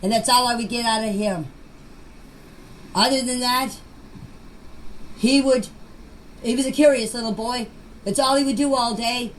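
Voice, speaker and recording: A woman's voice: fairly high pitch, unhurried, long pauses, rough recording. An older woman informally recounting memories in a plain-spoken, folksy way, often repeating her phrases. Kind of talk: informal personal recollection. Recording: clear background noise, amateur voice clip.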